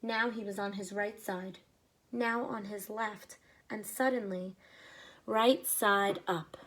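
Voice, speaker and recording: A woman teacher reading a picture book aloud to children, with expressive pacing and short explanatory asides. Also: medium pitch, slow pace.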